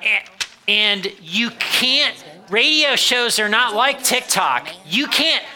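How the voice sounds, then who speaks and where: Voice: medium pitch, expressive, takes it slowly, bright, forceful tone. A presenter giving a live, jokey, explanatory introduction to a skit.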